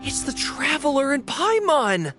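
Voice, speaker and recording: A video game's young stealth scout, high-voiced for a male and hugely animated. He is friendly, confident and eager to help, with game music behind him. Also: unhurried, full character voice. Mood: excited. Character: friendly, confident young man, stealthy scout, helpful big-brother type. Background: background game music.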